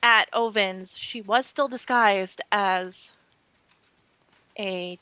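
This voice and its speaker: Female tabletop player: forceful bright voice, slow pace, casual table talk. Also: mid-range voice, clear, resonant tone. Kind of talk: casual game chat. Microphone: online group call.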